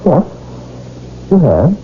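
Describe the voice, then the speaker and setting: An actor playing a mild, trusting, middle-aged shopkeeper in a 1970s radio drama, hesitant and flustered. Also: male voice, on the high side, wildly swooping pitch, extremely slow, full character voice. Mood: flustered, doubting. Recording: tinny, noisy old radio broadcast.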